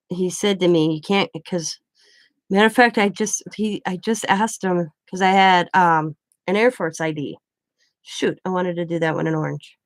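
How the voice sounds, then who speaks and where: A woman's voice: on the low side, no echo. A woman from the Midwest, chatting casually and rambling while she crafts, laughing often. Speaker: craft vlogger.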